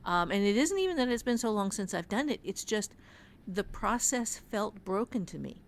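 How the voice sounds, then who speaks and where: A woman speaks as an author in a casual podcast chat. She tells things reflectively and haltingly, searching for the next phrase as she goes, with a mid-pitched voice. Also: sing-song. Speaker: author and writing teacher.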